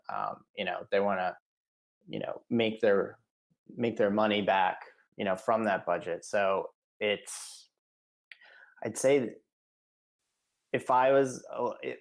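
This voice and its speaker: A man with a hoarse, fading voice, answering an interview question casually with many fillers and restarts, clearing his throat now and then. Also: on the low side. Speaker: marketing director, podcast guest.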